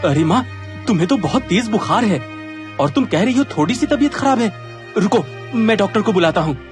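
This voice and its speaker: A man's voice: sits high, animated, fairly quick, over music. A man voicing a caring, earnest young stepson in a cartoon, sounding concerned. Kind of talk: Hindi moral-story cartoon dubbing.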